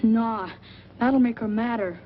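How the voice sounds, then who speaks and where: Mischievous, slangy small boy, high voice, muffled vintage movie sound. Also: takes it slowly. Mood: sulky. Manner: slangy, boyish. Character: mischievous, restless small boy, sulky but pally. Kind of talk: 1940s film acting. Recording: dull, noisy old film soundtrack.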